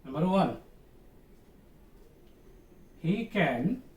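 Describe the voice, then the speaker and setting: Male schoolteacher, Bangladeshi accent, slightly high pitch, distant mic, explains grammar step by step. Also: sing-song, darkish tone. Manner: deliberate, repetitive, checking in with pupils. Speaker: teacher. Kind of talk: classroom grammar lesson. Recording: echoey, distant mic, noisy room.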